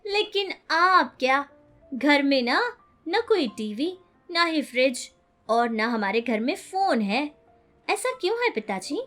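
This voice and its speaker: Casting: woman as young schoolboy son for a children's story, high voice, pleading, inquisitive, earnest. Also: big pitch swings, slow pace, a bit bright, faint music behind, heavily acted. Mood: earnest, questioning. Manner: pleading, questioning.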